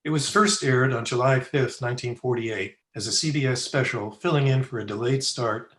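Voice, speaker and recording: A man's voice: mid-range voice, moderate pace, a bit bright. A man reading scripted narration as the announcer of a radio program, recorded over a video call in a roomy space.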